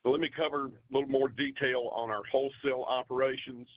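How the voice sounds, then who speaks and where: A man's voice: medium pitch, flat delivery, fast talker, no room sound. A man giving a scripted financial report on an earnings call as a company finance executive, in a formal, businesslike way. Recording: dull, dry conference call audio.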